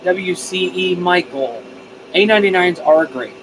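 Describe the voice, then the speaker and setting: Higher-voiced man livestreaming over background noise. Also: a little slow. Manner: hesitant, repeats and restarts phrases as he thinks aloud. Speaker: live streamer, radio hobbyist. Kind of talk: casual live stream chat, reading viewer comments.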